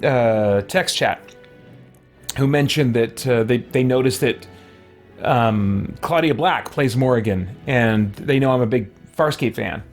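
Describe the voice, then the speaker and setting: A man's voice: mid-range voice, slow. A man hosting a let's play, chatting casually and thinking aloud in a rambling, musing way. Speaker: gaming host. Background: faint game music.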